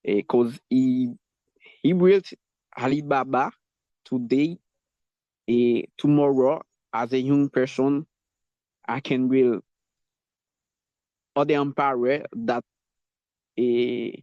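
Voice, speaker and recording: Halting man, slow and echoey. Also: medium pitch, a little mellow. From Haiti. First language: Haitian Creole. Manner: halting, searching for words. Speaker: language learner taking the microphone. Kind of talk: impromptu talk in English practice. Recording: muffled, roomy online call audio.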